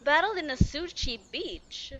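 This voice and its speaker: A woman streamer doing playful gameplay commentary, excitable, with sarcastic asides and lines read aloud. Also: sits high, unhurried, slight background noise. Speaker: streamer reacting to a game. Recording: dull lo-fi mic, faint music.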